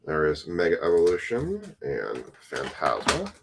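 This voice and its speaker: A man's voice: very deep voice, wildly swooping pitch, measured pace, vocal fry, noisy background. A man casually chatting on a live stream while opening trading card packs, rambling to his viewers.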